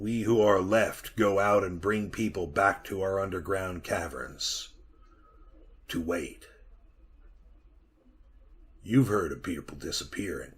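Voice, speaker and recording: An actor playing a sinister, haunted survivor who serves an underground power, telling his tale deliberately in a retro radio drama. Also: on the low side, a bit bright, breathy edge, full character voice. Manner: ominous, deliberate storytelling.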